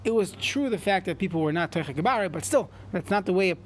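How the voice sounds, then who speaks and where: Man with a high voice, talmud lesson, faint room ambience. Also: lively intonation, fast, a little hiss or hum. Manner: reads in chant then translates, frequent restarts. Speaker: Talmud teacher. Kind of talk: Talmud class, reading and translating.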